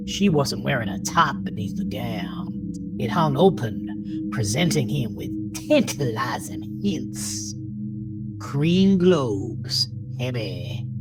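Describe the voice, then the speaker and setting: A woman with a very deep voice reads dramatic horror narration at an unhurried pace. Her delivery is theatrical and swoops between hushed lows and higher peaks, with music clearly behind her. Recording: music bed under the narration.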